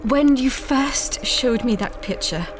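An actress playing a guilt-ridden woman scientist near death, speaking slowly and pleading in an audio drama. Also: high-pitched, animated. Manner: slow, weary and pleading. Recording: music underneath.

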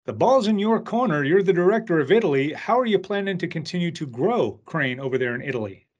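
A man's voice: fairly high pitch, lively intonation, fast, highs cut off. A man hosting a podcast in a polished, upbeat way, reading an intro and asking interview questions. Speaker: podcast host.

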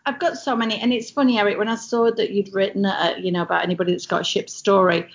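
A middle-aged English woman, a former cruise ship entertainer, telling lively stories in casual chat. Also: medium pitch, fast talker, highs cut off. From England. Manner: lively storyteller, animated quoted voices.

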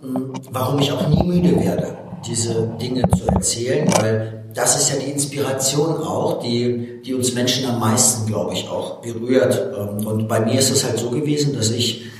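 A man in his forties from Azerbaijan, whose first language is Russian, speaks as a guest coach in an interview. His pitch is average and his delivery is earnest and halting, like someone sharing a personal story to motivate. The room is very reverberant and he sits away from the microphone. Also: noisy background. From Baku, Azerbaijan. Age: 40s. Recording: very echoey room, distant from mic.

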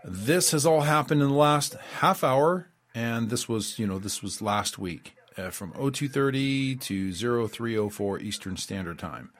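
A man hosts a radio talk show in an everyday mid-pitched voice. He rambles loosely, reads out quotes, and leans on fillers and restarts as he goes. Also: unhurried, few pauses. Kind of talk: casual spoken commentary.

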